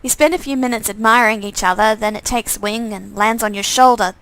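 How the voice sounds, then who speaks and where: A woman's voice: a little high, brisk, fairly bright tone, no room sound, rough recording. A woman streamer reading a visual novel game aloud, stumbling over lines and re-reading them with asides to herself.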